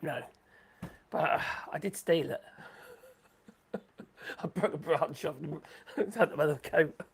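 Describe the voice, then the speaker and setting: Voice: medium pitch, takes it slowly, gravelly, slight background noise. A livestream host rambling through sarcastic commentary, saying the voice is going. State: losing voice.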